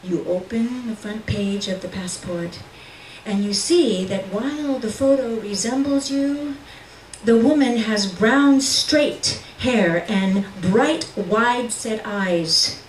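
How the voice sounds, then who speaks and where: Slow-reading woman in a roomy space. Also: fairly high pitch. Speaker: reader at a literary reading. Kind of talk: live reading of literary fiction in second person. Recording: roomy, distant mic with faint noise.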